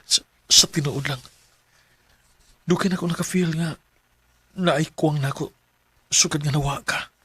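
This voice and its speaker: An actor playing a young lovestruck Cebuano man in an emotive radio drama, with a husky voice and a Cebuano accent. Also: on the high side, steady tone, moderate pace, long pauses, fairly bright tone, no room sound. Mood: tender, confessing. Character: young lovestruck Cebuano man confessing and narrating his story.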